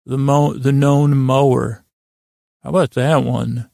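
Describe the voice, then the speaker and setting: A man hosting a bedtime podcast, rambling in a halting, meandering way with a gravelly, creaky voice. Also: mid-range voice, little pitch movement, a little mellow, no echo, studio quality. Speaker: podcast host. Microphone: close podcast microphone.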